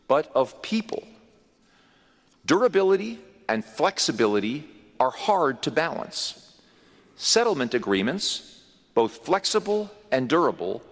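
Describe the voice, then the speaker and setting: A man delivering a formal prepared speech as a government official, measured and emphatic, with deliberate pauses. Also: a little high, fairly bright tone. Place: large conference hall. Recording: long reverb of a large hall.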